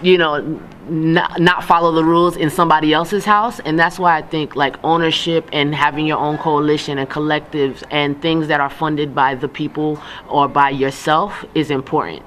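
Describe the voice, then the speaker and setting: A man's voice: fairly high pitch, fairly even intonation, normal speed, few pauses, a bit bright. A man giving casual interview answers as a radio broadcaster, informal and emphatic, with frequent asides. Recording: noticeable background noise.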